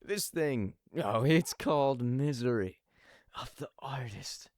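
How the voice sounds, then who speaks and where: A man playing a brooding, touchy sculptor in an audio play, proud and quietly menacing. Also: medium pitch, lively intonation, slow, no room sound, studio quality. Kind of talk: audio play character acting.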